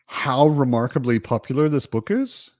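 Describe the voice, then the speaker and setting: A man's voice: medium pitch, sing-song, moderate pace, a little mellow, dry recording, studio quality. A man casually discussing books in a reflective, somewhat hesitant way. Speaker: book discussion participant.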